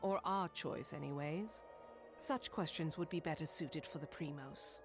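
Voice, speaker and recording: An actress playing a solemn armored female guide in a fantasy video game, speaking formally and gravely. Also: low-pitched, unhurried, over music. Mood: grave, cautioning.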